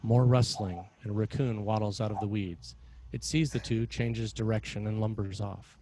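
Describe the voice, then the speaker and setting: A man with a lowish voice narrates a screenplay. He reads stage directions in a measured, dramatic style. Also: fairly even intonation, dark tone.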